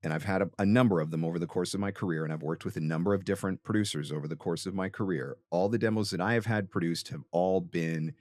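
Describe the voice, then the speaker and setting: Low-voiced man giving emphatic advice. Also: a little mellow, no room sound. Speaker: host and voiceover coach. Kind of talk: conversational advice in an interview.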